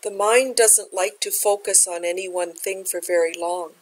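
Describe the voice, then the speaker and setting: A woman guides a meditation in a mid-range voice. Her delivery is gentle and measured, like a teacher giving calm, step-by-step instruction. She takes her time with each phrase. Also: mid-range voice.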